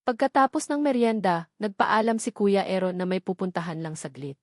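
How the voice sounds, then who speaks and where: A woman's voice: medium pitch, moderate pace, no room sound, clean studio sound. A woman with a Filipino accent giving a scripted first-person narration of a romantic drama. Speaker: narrator of audio love stories.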